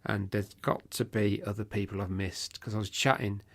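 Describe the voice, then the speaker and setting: A man's voice: on the low side, steady tone, moderate pace. A British man co-hosting a podcast, talking casually and rambling with lots of restarts, his voice a little worn from talking. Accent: British English. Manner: chatty, rambling with frequent restarts. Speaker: podcast co-host. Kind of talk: casual chat.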